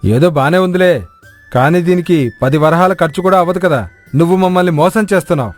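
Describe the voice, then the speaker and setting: A man's voice: on the high side, a little fast, soft background music, lightly acted. A man playing a jealous, boastful village cook with a scornful, grudging manner in a moral story. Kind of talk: acted moral story dialogue.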